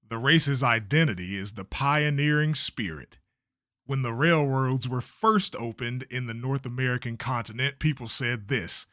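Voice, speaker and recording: Male actor as older showman promoter for animated dub: bossy, theatrical, highly animated delivery, bright forceful edge. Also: no echo, studio quality. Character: blustering older male race promoter, secretly fearful showman. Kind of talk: comic-book dub voice acting.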